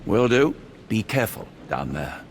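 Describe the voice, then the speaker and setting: An actor playing a detective's loyal, anxious, good-natured male companion in a video game, with old-fashioned gentlemanly speech. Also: lowish voice, big pitch swings, moderate pace, gravelly, a little hiss or hum. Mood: amiable. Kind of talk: dramatic video game voice acting. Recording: music bed under the voice.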